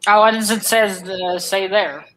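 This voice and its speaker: Female co-host with a very bright, forward voice at average pitch, informal and joking. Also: fairly even intonation, measured pace, low-quality recording. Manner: playful, jokey. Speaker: co-host of a livestream read-along. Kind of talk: casual chat.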